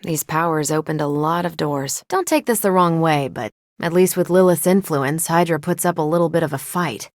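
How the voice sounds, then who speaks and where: A woman's voice: low voice, moderate pace, hardly any pauses, dry recording, clean studio sound, natural voice. A woman with an American accent playing a confident, wry, upbeat superheroine in a video game, with breezy, teasing, casual delivery. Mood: conversational. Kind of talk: video game voice acting, short one-off lines.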